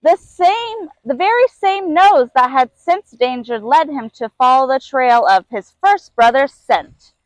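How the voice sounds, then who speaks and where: Female storybook narrator, high-pitched, reading aloud for children, recorded dry. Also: animated, measured pace, few pauses, dry recording, rough recording. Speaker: zoo keeper reading a story.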